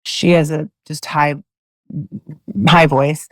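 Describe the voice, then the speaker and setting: A middle-aged woman with a low voice, a singer-songwriter guest chatting loosely in an interview, full of fillers and restarts. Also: level tone, slow pace, no room sound. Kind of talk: casual interview chat.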